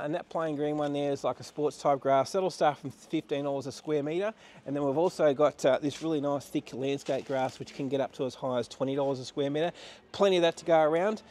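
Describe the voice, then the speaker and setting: Male auction presenter, slightly high voice, matter-of-fact salesman delivery, ad-libbed walkthrough. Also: a little mellow. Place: large warehouse, walking about.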